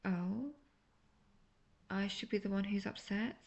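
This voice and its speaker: A woman playing a manipulative, seductive imprisoned witch in a fantasy audio roleplay, speaking intimately in a breathy near-whisper. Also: mid-range voice, slow, a little mellow, highs cut off. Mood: mock offended.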